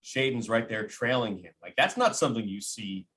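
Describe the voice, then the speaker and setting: A man's voice: on the low side, expressive, fairly quick, a bit bright. A man who works as a play-by-play announcer, giving casual sports analysis as a podcast guest.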